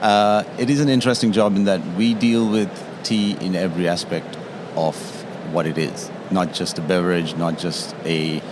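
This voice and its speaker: Sri man, very low voice, guest expert on a noisy show floor. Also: fairly even intonation, moderate pace. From Sri Lanka. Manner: explanatory, tags statements with "yeah?". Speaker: tea taster and guest. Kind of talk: interview at a trade show.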